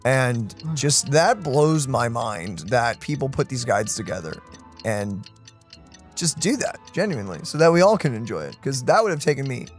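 Casting: male let's play host, casual and exclamatory, very lively intonation, faint game music behind. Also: mid-range voice, normal speed. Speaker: video game streamer.

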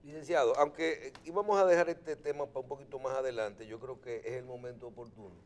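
A man with a Dominican accent interviewing on a political show, phrasing questions in a halting, roundabout way with repeated words. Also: mid-range voice, fairly even intonation.

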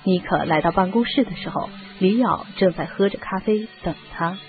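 A woman's voice: medium pitch, normal speed. A woman reading scripted narration for a radio drama. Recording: muffled phone-like radio recording. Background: music.

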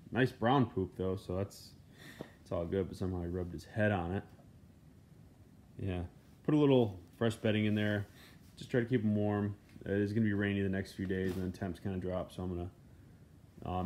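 A man's voice: sits low, dark tone, slight background noise. A man in his thirties casually narrating his farm vlog, rambling and correcting himself as he goes. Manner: easygoing, rambling, self-correcting. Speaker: farmer vlogger. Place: in a truck cab and a barn.

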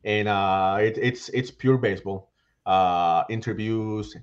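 A middle-aged man, a guest author talking on a video call in an animated way, with frequent fillers and restarts. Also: on the low side, takes it slowly. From Puerto Rico. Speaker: guest author and baseball writer. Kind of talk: casual video call interview. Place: home room. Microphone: headset microphone on video call. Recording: slightly dull, lo-fi call audio.

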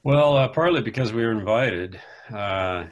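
A man's voice: on the low side, lively intonation, normal speed, muffled recording. A man in his forties, a political scientist, explaining his research in a casual, thoughtful way on a video call. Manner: thoughtful, explanatory, with "you know" asides. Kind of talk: casual academic conversation. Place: home room.

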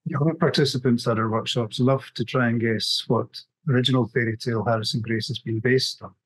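A man's voice: medium pitch, fairly even intonation, brisk, slightly rough, no room sound, rough recording. A middle-aged man with a Scottish accent, presenting a prepared webinar talk over a video call. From west coast of Scotland. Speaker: graphic novelist, webinar presenter.

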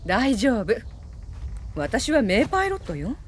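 An actress playing a poised young female spy in a video game cutscene, speaking in a cool, intimate, deliberate way. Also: on the high side, wildly swooping pitch, a little hiss or hum. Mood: cool briefing. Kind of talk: dramatic game cutscene acting. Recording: music behind the voice.

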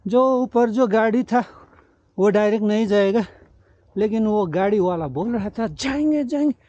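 A man's voice: sits high, normal speed, dark tone, no echo. A man in his forties giving casual trek-vlog narration outdoors into a handheld camera, mixing in English words. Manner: mixes English words and phrases into speech. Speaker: travel vlogger. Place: outdoors on mountain roads. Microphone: camera held at arm's length. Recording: slightly dull, muffled camera audio.

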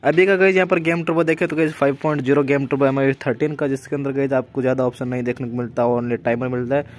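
A man's voice: medium pitch, fairly even intonation, fast talker, no echo. A man with an Indian accent hosting a casual tech news voice-over, often addressing viewers as friends. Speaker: tech channel host.

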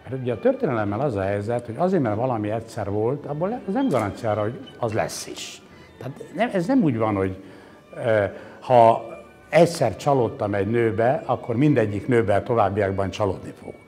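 A man's voice: mid-range voice, sing-song, a little mellow. Reflective older man over background music. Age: 60s. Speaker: exhibition curator interviewed. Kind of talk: reflective interview explanation. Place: indoor exhibition gallery. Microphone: lapel mic.

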